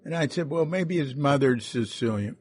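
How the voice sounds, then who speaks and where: A man interviewing a guest, asking slow, gentle questions. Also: mid-range voice, animated, a little hiss or hum. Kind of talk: casual interview chat.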